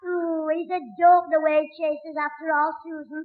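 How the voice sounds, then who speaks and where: A performer playing a cheeky, quick-witted young schoolboy with a Northern English accent in a 1960s radio sitcom, full of wisecracking backchat. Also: high voice, flat delivery, natural voice. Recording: roomy, tinny old radio broadcast recording.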